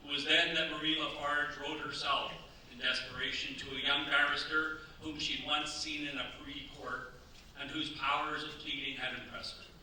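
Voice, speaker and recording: A man acting as the formal narrator of a staged radio play, telling the story deliberately. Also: on the high side, little pitch movement, pushed and bright. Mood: grave, dramatic. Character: formal storytelling narrator of a historical crime tragedy. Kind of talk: scripted dramatic narration. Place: live theatre stage. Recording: echoey stage, distant mic, faint noise.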